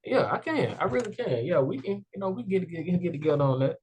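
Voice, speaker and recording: A man's voice: sits high, lively intonation, fast, darkish tone, a little hiss or hum. A young adult man hosting a casual interview show, talking loosely and upbeat with many fillers. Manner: rambling, heavy "like" and "you know" fillers, upbeat. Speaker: podcast host.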